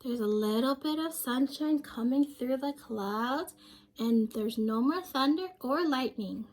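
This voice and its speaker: A woman's voice: high voice, unhurried, darkish tone. A young woman reading a storybook aloud to children, speaking simply and expressively and prompting her listeners with questions. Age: young adult.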